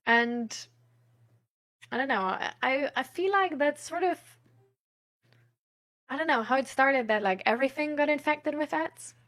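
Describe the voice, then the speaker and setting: Woman with a fairly high voice, informal and rambling, thinking aloud like a streamer chatting to viewers. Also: a little slow, pauses often, a bit bright. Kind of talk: casual rambling chat.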